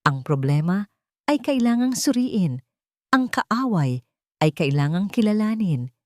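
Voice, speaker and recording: Female dramatic storyteller, emphatic scripted read, highly varied intonation, very dry recording. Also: very animated, a little slow, no echo. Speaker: story narrator.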